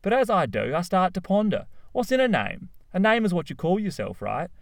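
A man voicing an excitable, self-dramatizing young male poet who tells his story in a gushing, animated way. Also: high voice, a little fast, gravelly, no room sound, clean studio sound, voice pushed up, full character voice. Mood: excited.

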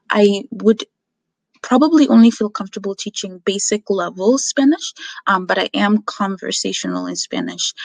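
A woman in her twenties from the United States introducing herself as a language tutor, speaking in a halting, measured way with many pauses. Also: mid-range voice, creaky, no echo, low-quality recording. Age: 20s. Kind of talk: self-introduction video.

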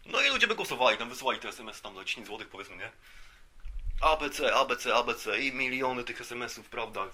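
A man's voice: mid-range voice, animated, fast, bright, forceful tone, husky. A man hosting a podcast, giving a casual satirical monologue in a mock-serious, rambling way with colloquial asides. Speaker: podcast host.

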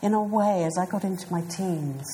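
A woman in her fifties with a British accent, an author answering interview questions in a thoughtful, hesitant way with many pauses. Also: fairly low pitch, mellow, a little hiss or hum. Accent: British English. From South Africa. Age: 50s.

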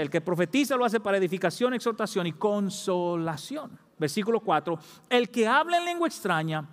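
A man's voice: sits high, big-room sound. A man preaching a sermon as pastor, fervent, rising to emphatic peaks. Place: church.

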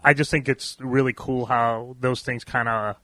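Bright-voiced man casually asking questions. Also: medium pitch, steady tone, moderate pace, no room sound. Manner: loose, casual questions with swearing and repeats. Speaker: podcast host. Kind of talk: casual podcast interview.

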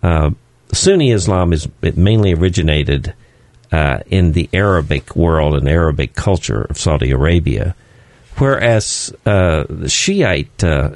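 Deep-voiced man explaining slowly on radio. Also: a little mellow, dry recording. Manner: slow, halting, many "uh" fillers. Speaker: studio guest. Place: radio studio.

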